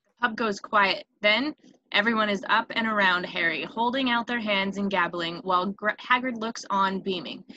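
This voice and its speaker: A woman's voice: mid-range voice, moderate pace, sharp tone. A woman reading scripted screenplay stage directions as narrator, on a dull, noisy home recording.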